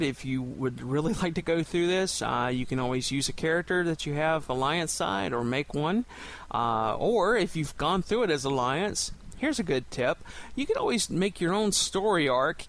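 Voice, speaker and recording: Male podcaster, higher-pitched with creaky fry, loose, playful, halting casual delivery. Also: a bit bright. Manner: hesitant, filler-heavy, playful. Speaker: podcast host. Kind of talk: casual chat about a game quest.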